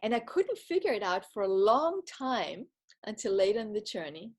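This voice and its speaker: A woman who grew up speaking Bavarian tells a personal story in English. She speaks at a middle pitch, reflective and earnest, often circling back and restarting her sentences. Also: very animated, normal speed, a little mellow, slightly rough. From Bavaria, Germany. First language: Bavarian German. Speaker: retreat participant giving a testimonial.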